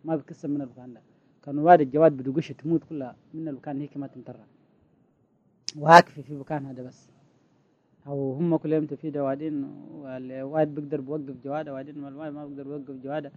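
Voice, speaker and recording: A man explaining things in a casual conversation, talking in a quick storytelling way. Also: on the high side, little pitch movement, no echo. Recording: tinny phone-like sound.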